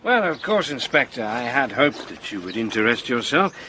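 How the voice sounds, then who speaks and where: An actor playing a brisk, matter-of-fact police commissioner in a radio drama. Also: male voice, medium pitch, big pitch swings, sharp tone, a little hiss or hum.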